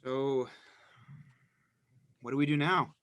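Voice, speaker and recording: An actor playing a sensitive, troubled teenage vampire, a meek younger brother, in a dramatized play script reading. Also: male voice, medium pitch, takes it slowly, a bit bright. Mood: meek, uncertain.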